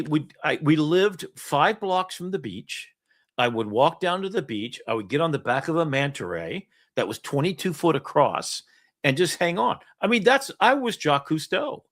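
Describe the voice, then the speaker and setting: A middle-aged man, the host, telling rambling stories in casual chat, laughing often. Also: fairly high pitch. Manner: storytelling, animated, laughs often. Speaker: podcast host.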